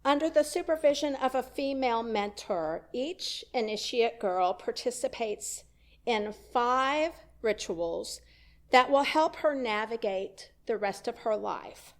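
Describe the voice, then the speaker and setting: A woman minister delivering a sermon, slow and deliberate, with emphatic rising questions. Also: fairly high pitch, animated.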